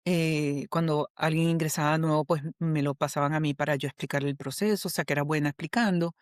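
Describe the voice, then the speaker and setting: A woman's voice: low-pitched, fairly even intonation, a little fast, no echo. Venezuelan woman explaining patiently, dry sound. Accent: Venezuelan Spanish. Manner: patient teacherly explaining, checks in with frequent "ok?". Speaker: HR specialist and coach, guest. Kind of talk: explanatory podcast conversation.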